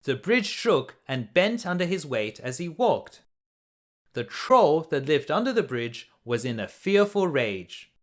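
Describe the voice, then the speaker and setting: Slow, expressive male storyteller. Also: on the high side. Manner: expressive, deliberate. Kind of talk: reading a children's fairy tale aloud.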